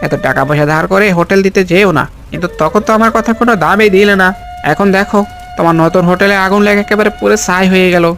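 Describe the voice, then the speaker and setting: A man's voice: high voice, quick pace, fairly bright tone. A man playing a reproachful, nagging village man in a cartoon. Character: reproachful village man scolding his elder friend. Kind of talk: Bengali cartoon storytelling dub. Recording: voice over background music.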